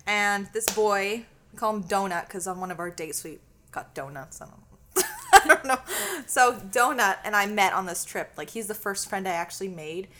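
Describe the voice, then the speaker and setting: A woman's voice: mid-range voice, animated, normal speed, fairly bright tone. A woman in her twenties, co-hosting a podcast and telling stories in a chatty, giggly way. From Canada. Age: 20s. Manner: giggly, chatty storyteller with frequent laughs. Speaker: podcast co-host. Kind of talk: casual chat.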